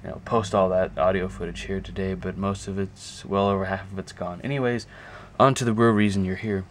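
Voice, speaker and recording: A man giving a casual, unscripted show-and-tell to a camera, talking haltingly and thinking aloud as he goes. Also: sits low, fairly even intonation. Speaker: video creator showing a music collection. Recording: clear background noise, amateur camera audio.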